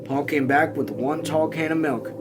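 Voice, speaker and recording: Higher-pitched man reading a novel aloud over music. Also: moderate pace. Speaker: reader. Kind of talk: amateur read-aloud of a novel with casual asides. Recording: music playing behind the voice.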